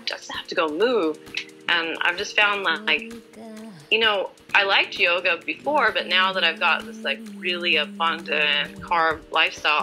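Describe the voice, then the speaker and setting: A woman's voice: on the low side, sharp tone, rough recording. A woman in her forties answering as an interview guest in a casual, chatty, informal and self-deprecating way. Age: 40s.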